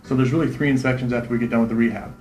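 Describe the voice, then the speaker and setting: A man in his thirties, a real estate investor, answering interview questions quickly and confidently, often tagging "right?" onto his points. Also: medium pitch, steady tone, a little mellow, reverberant, low-quality recording. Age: 30s. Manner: fast, confident, repeats "right?".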